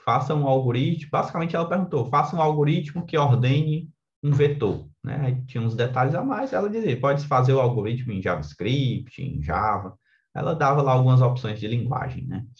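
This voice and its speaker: Brazilian guy tutoring for exams, chatty explainer, kinda dull lo-fi mic, medium pitch. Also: expressive, brisk. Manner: conversational, frequent tag questions seeking agreement. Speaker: exam coach and teacher. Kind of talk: informal explanatory lecture.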